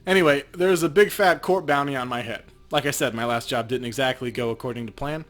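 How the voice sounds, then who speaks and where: A man acts out a world-weary video game street runner. He talks tough and wry, like someone used to trouble. His pitch sits in the middle of the male range, with game music underneath. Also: brisk, a bit bright, close to an everyday voice. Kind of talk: reading video game dialogue aloud.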